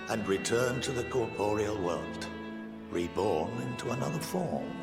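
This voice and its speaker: A man playing an erudite, measured scholar and statesman in a video game, speaking formally, like a lecture, with gentle wry humour. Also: lowish voice. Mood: reflective. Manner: formal, eloquent, didactic. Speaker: game character, scholar and council member. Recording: game audio with echo, loud music. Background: loud music.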